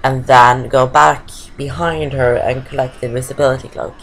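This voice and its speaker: Low-voiced woman narrating a game. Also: steady tone, moderate pace, creaky, a little hiss or hum, rough recording. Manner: chatty, explains steps, fillers like "like". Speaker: let's play gamer. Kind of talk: casual game commentary.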